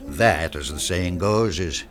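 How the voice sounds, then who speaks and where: A man's voice: deep voice, takes it slowly, a bit bright. An actor playing an older American company president in an old radio drama, fatherly, genial and commanding. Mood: proud, confiding. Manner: avuncular, folksy, authoritative.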